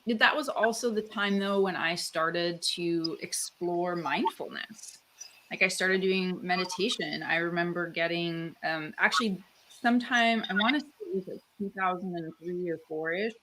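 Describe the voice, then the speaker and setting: A woman's voice: on the low side, fairly bright tone, rough recording. A woman from Canada, a guest author, talking reflectively in a casual interview, with lots of "like" and "um" fillers. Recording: faint background noise.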